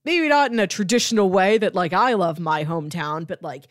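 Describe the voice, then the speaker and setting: A woman's voice: lowish voice, brisk, no room sound, clean studio sound. A woman co-hosting a podcast in casual chat, thinking aloud informally with plenty of "like". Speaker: podcast co-host.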